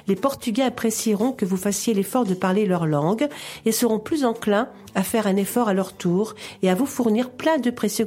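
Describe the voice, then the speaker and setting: A woman reading her scripted radio column in a measured, reassuring, explanatory way. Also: medium pitch, mellow, breathy edge.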